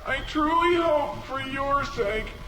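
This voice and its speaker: An actor voicing a gloomy alien envoy in a video game, mournful and grudgingly formal. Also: male voice, very high-pitched, takes it slowly, reverberant, over music, low-quality recording. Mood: mournful, weary. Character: gloomy, reluctantly courteous small alien envoy. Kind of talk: video game character acting.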